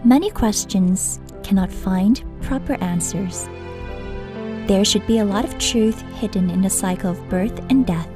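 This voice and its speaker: Slow woman narrator over new-age music. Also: mid-range voice. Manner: calm, measured and instructive. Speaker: narrator of program introduction. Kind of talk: scripted narration. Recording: clear new-age music bed under voice.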